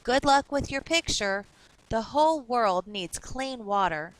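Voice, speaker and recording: Kindly grandfather of seventy voiced by a woman, slightly high, gentle, earnest storybook reading. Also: lively intonation, unhurried, no room sound, poor audio. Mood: earnest, caring. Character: kindly seventy-year-old grandfather writing from Kenya.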